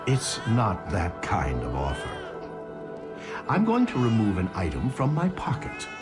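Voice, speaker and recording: A man playing a smooth, condescending corporate executive villain in a video game, with measured, falsely soothing menace. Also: on the low side, huge pitch swings. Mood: coolly menacing. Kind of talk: dramatic video game cutscene acting. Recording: music behind the voice.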